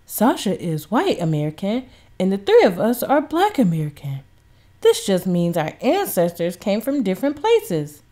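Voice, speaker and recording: Woman acting dad in storybook, patient, comforting, emphatic, lively varied pitch. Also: normal speed. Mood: patient, explaining. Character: warm, reassuring father explaining patiently to his child. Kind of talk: children's storybook read-aloud.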